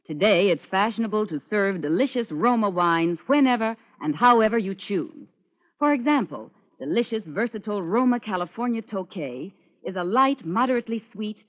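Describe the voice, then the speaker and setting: A woman reading a scripted product endorsement in 1940s radio style. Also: medium pitch. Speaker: celebrity endorser. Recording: dull, reverberant old radio recording.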